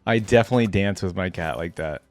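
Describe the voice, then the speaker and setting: A man's voice: deep voice, quick pace, darkish tone, no echo, faint music behind. A young man giving casual, laid-back reaction commentary, thinking aloud as host.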